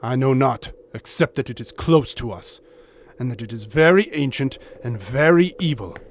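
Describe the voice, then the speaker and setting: A man's voice: medium pitch, lively intonation, normal speed, slight background noise, lightly acted. A man playing a fierce, superstitious warrior and loyal companion in a pulp audio drama, with ornate, intense speech. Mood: dread.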